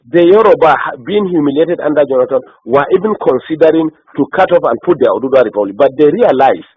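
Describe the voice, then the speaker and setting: A man's voice: a little high, lively intonation, quick pace, husky. A man from northern Nigeria with a northern Nigerian accent, arguing politics insistently on a phone call, holding the floor and checking that his listener follows. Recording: echoey, band-limited phone call recording.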